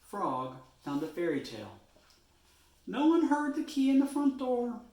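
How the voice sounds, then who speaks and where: A man reading a children's storybook aloud in an expressive way, stretching words for emphasis. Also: very high voice, reverberant, poor audio. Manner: expressive, drawn-out phrasing with emphasis.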